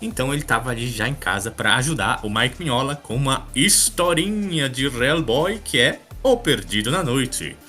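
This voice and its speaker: A man's voice: mid-range voice, animated, moderate pace, hardly any pauses, pushed and bright, faint music behind. A man with a Brazilian accent hosting a comics podcast, chatting casually and colloquially with amusement. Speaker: podcast host.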